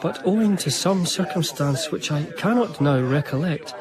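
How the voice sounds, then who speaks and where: Actor as an earnest, higher-voiced gentleman narrator. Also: expressive, normal speed, a crowd in the background, natural voice. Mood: wounded, recounting. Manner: polite, articulate, formal. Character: earnest, deferential, easily wounded gentleman narrator. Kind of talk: period radio drama acting and narration.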